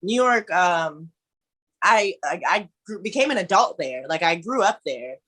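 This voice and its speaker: A woman's voice: lowish voice, lively intonation, a little slow, fairly bright tone. A woman in her twenties from Ohio, animatedly telling a story as a guest in a casual conversation.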